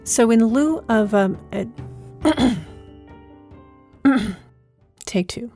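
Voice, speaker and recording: A woman's voice: a little high, extremely slow, mellow, soft background music. A woman hosting a podcast as herself, talking informally in a hesitant, conversational, wry way. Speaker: podcast creator and host, playing herself. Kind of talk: informal podcast talk in an audio drama.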